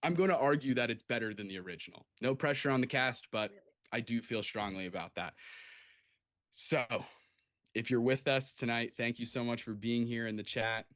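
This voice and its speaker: A man's voice: mid-range voice, moderate pace, a bit bright, dry recording, clean studio sound. A man hosting a livestream in a casual, enthusiastic and effusive way, introducing people over a video call. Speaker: host.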